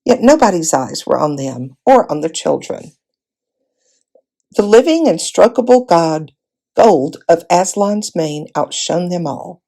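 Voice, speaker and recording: A woman reads a fantasy storybook aloud. Her voice sits low and relaxed, with a noticeable creaky edge. She delivers the narration expressively, as a volunteer reader would. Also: unhurried.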